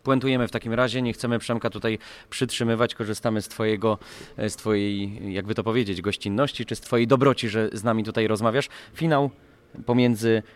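A man's voice: fairly low pitch, fairly even intonation, brisk. A man hosts a sports podcast. He talks conversationally and at length, often reaching for the wording. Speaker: podcast host.

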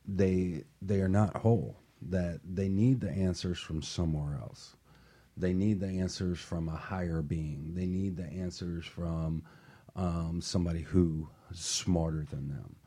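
A man's voice: deep voice, slow pace, mellow. A man hosting a motivational radio talk show, speaking earnestly and emphatically and repeating phrases for weight.

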